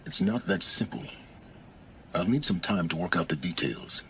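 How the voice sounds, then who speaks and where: An actor playing a learned, secretive game character, speaking in a deliberate, articulate, wry manner. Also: male voice, deep voice, lively intonation, a bit bright, a little hiss or hum. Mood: wry, intrigued. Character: learned, secretive man, cool and calculating informant. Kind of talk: video game voice acting, measured dialogue.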